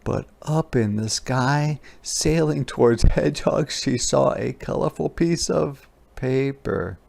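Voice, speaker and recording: A man reading a children's story aloud as a teacher, pausing to explain words and ask questions, stretching key words. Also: medium pitch, gravelly, darkish tone. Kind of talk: interactive children's read-aloud.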